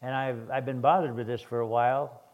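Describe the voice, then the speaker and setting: An older man with a hoarse voice, preaching a sermon in a halting way with many restarts and fillers. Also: medium pitch, fairly even intonation, phone-quality sound. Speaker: guest preacher.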